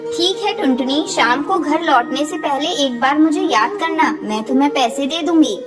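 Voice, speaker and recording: A woman's voice: sits high, moderate pace, loud music behind the voice, lightly acted. A woman voicing a kindly, motherly female pigeon who runs a farm in a children's cartoon. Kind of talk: children's cartoon story dialogue.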